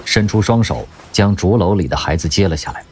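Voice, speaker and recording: A man narrating an audio drama in an expressive storytelling manner. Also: deep voice, brisk, slight background noise.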